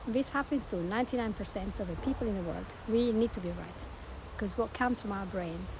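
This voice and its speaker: A woman's voice: on the high side, background noise. A woman with an Italian accent, talking earnestly as a podcast host and life coach, promoting her show. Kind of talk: promotional monologue.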